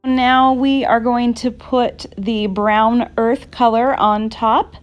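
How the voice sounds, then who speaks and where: A woman giving a casual painting tutorial in an unhurried, halting, reassuring way. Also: fairly high pitch, steady tone, faint music behind. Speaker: art instructor. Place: indoors at a craft table.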